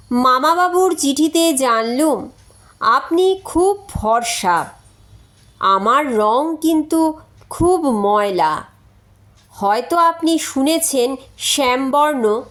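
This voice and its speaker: Woman reading as earnest young man, slow and high. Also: fairly bright tone, full character voice. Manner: polite, formal, deliberate. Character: earnest, formal young male letter writer. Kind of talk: story reading, letter read aloud.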